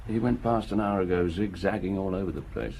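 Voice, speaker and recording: Junior police detective, deferential and dutiful, lowish voice, radio-play style, faint noise. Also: male voice, fairly even intonation, fairly quick, a little mellow. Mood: quiet, watchful. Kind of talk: audio drama acting.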